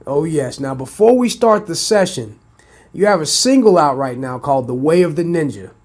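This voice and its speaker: A man hosting a podcast interview, a little hoarse from a cold, with a scratchy voice. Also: a little high, expressive, normal speed, darkish tone. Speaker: podcast host.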